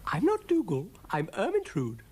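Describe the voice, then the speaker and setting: A man's voice: very high-pitched, huge pitch swings, a little slow, dark tone, voice pushed up, exaggerated voice. A man voicing a vain, genteel female cow in a children's story with an affected, refined manner. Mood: coy, proud. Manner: affected, refined, addressing others as dear. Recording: clearly noisy recording.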